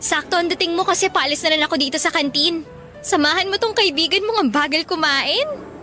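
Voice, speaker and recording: Bright, high-pitched bubbly Filipina student. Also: female voice, a little fast, faint music behind. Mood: teasing. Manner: bubbly, teasing. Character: cheerful, playful young female student being courted. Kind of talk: Filipino radio drama acting.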